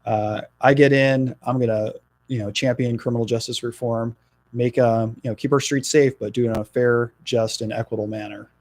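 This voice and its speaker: A middle-aged man from New Jersey, a political candidate talking in a radio interview, earnest and halting with frequent fillers. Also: mid-range voice, steady tone, darkish tone.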